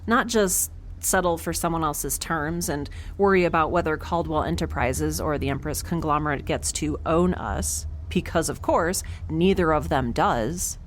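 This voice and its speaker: A woman's voice: low-pitched, normal speed, hardly any pauses, slight background noise, lightly acted. A woman playing a quirky, nervous scientist aboard a spaceship in an audio drama, rambling with sarcastic, self-deprecating humor. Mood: earnest, musing. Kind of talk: conversational audio drama acting.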